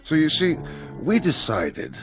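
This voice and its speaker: An actor playing a formal, awkwardly tactful school headmaster in a radio drama, with a halting, stiffly polite delivery. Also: male voice, fairly high pitch, wildly swooping pitch, soft background music. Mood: grave, uneasy.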